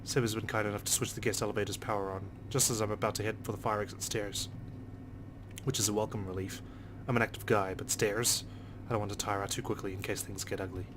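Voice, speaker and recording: A man's voice: lowish voice, level tone, fast talker, noisy background. A man reading a visual novel aloud in the first person with a dry, sardonic delivery. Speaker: let's play narrator.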